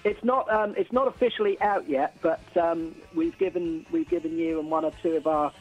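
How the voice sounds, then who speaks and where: A man with a fairly high voice gives a casual radio interview as a band's frontman. He starts phrases hesitantly, often repeating words, over a faint bed of music. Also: very animated, moderate pace, vocal fry, muffled recording. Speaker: band frontman, interview guest.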